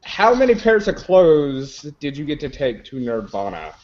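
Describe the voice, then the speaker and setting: A man's voice: on the high side, very animated, normal speed, darkish tone, lo-fi sound. A man in his early twenties hosting a group video call, teasing and reading out viewer questions. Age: 20s. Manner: rambling, teasing, reads out questions. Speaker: hangout host. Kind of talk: casual group video chat.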